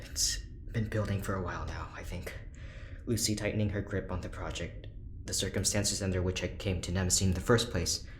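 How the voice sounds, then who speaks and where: A man playing an anxious, unravelling corporate scientist in an audio drama, earnest, brittle and halting. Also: low-pitched, steady tone, roomy sound, slight background noise, natural voice. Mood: despairing.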